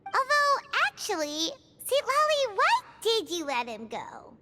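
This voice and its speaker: A woman voicing a tiny, cheerful, inquisitive companion creature in a game cutscene, with a squeaky childlike voice. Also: huge pitch swings, a little slow, very noisy, full character voice. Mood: curious, concerned. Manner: chirpy, inquisitive. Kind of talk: animated video game cutscene acting. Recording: loud music under the voice.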